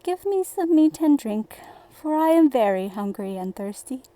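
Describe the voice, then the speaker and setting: A woman voicing a little old man, a kindly magical storybook stranger who speaks in a measured, solemn way. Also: sits high, big pitch swings, dark tone, no echo, low-quality recording. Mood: needy.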